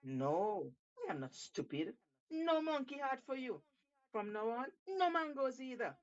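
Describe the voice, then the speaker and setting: A woman's voice: high-pitched, big pitch swings, measured pace, highs cut off, pitched above the performer's own voice. A woman playing a crafty, cheeky male monkey in a told story, with an emphatic, theatrical and taunting delivery. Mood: mocking, defiant.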